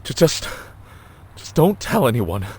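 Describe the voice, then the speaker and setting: A man's voice: medium pitch, sing-song, measured pace, darkish tone. A man playing an anxious, secretive man in an audio drama, speaking nervously with a stammer. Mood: panicked. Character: anxious, secretive man afraid of being found out. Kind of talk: scripted audio drama acting. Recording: faint background noise.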